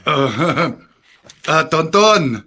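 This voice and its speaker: A man's voice: on the high side, animated, extremely slow, full character voice. A male actor playing a friendly, polite visitor in a radio drama, on a slightly noisy recording with clicks. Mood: cheerful. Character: friendly, polite gift-bearing adult visitor.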